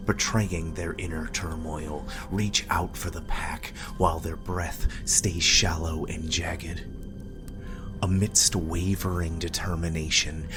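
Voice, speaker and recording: A man with a very low voice narrates a horror tale slowly. His delivery is hushed, breathy and ominous, like a dramatic storyteller. Music plays clearly behind him.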